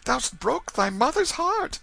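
Storybook peasant mother, performed by a man: middle-aged, high voice, tender, sorrowful, lamenting in archaic language. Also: sing-song, unhurried, creaky, bright, forceful tone, heavily acted. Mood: anguished pity. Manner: pleading, lamenting archaic speech. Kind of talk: dramatised audiobook character.

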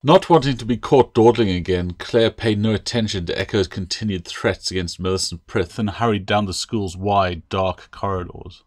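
A man's voice: lowish voice, moderate pace, few pauses, no echo, studio quality. A man reading his own fantasy novel aloud as audiobook narration.

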